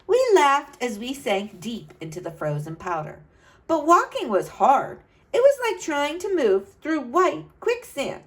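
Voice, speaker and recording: A woman's voice: high voice, huge pitch swings, unhurried, exaggerated voice. A woman reading a storybook as an earnest, adventurous young boy who tells his own tale dramatically. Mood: wonder, effort.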